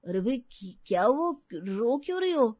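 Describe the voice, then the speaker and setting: Woman playing a baffled, lively young husband. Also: a little high, normal speed, no room sound, muffled recording. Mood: baffled placating. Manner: pleading, puzzled. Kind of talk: Hindi family story acting.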